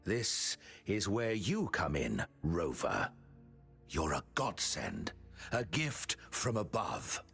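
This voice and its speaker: An actor playing an obsessive, callous puppet-maker villain in a video game, theatrical, swinging between musing and menace. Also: male voice, deep voice, big pitch swings, slow, vocal fry, fairly bright tone, faint music behind, heavily acted. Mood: gloating.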